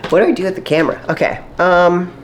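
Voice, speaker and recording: A woman's voice: fairly low pitch, fast, slight background noise. Chatty nasal woman vlogging from her kitchen. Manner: upbeat, chatty, full of fillers and likes. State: stuffy and nasal after a cold. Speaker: fitness competitor vlogger. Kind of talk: casual chatty vlog while cooking. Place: home kitchen. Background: faint sizzling of frying food.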